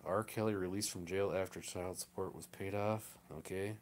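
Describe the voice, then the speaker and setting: Male farmer, lower-pitched voice; plainspoken, rambling livestream host. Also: fairly even intonation, moderate pace, slight background noise. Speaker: farmer hosting a live stream. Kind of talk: casual chat, answering viewer comments.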